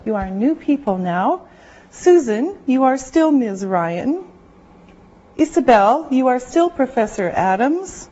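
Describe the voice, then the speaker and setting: A woman teaching an English class, giving slow, careful directions to her students in a classroom. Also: high voice, very animated, dark tone. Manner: slow, deliberate, clearly spoken directions. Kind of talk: classroom instruction. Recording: muffled lo-fi classroom recording.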